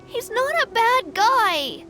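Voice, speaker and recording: A woman's voice: extremely high pitch, big pitch swings, slow pace, pushed and bright, full character voice. A woman voicing an earnest, proud and loyal little boy in a video game. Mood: defiant. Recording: music under the voice.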